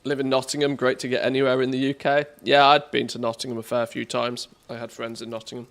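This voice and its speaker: A man's voice: medium pitch, flat delivery, fast, a bit bright. A man with an English accent chatting casually as a game livestreamer, in a jokey, self-deprecating way. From England, born in the northwest, lived mostly in the Midlands.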